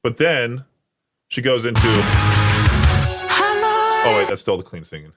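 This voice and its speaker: A man's voice: mid-range voice, animated, measured pace, loud music behind the voice. A man hosting a radio show, chatting casually and joking while reading prompts aloud. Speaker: radio host. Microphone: studio mic. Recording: dry studio sound.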